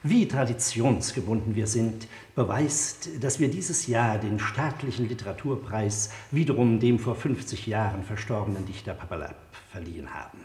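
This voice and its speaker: Man as stiff official, lowish voice. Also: normal speed, few pauses, darkish tone, roomy sound, natural voice. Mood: complacent. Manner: formal, bureaucratic. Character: stiff, complacent male culture minister reporting officially. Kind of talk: satirical German radio play acting.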